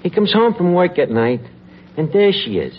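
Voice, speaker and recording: An actor playing a wisecracking, scheming working-class tavern manager in a 1940s radio comedy, with sardonic, hesitant patter. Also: male voice, high-pitched, sing-song, moderate pace. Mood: scheming, persuasive. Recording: muffled, very noisy old radio broadcast.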